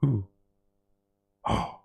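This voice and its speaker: A man's voice: drawn-out words, darkish tone, soft background music. A man chatting casually as he hosts a gaming livestream, with a playful, silly manner and a habit of repeating phrases. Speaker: livestream host playing a video game.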